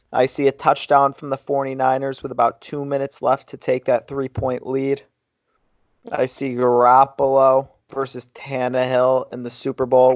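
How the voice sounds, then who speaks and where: Mid-pitched man in casual sports chat. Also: dry recording. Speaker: sports podcast co-host. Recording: dry, muffled lo-fi podcast audio.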